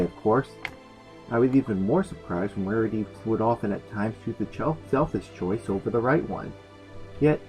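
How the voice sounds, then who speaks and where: A man's voice: mid-range voice, warm tone, rough recording. Male reviewer with background music. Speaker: host of a fan fiction review show. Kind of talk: scripted review narration with casual comic asides. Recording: music behind the voice.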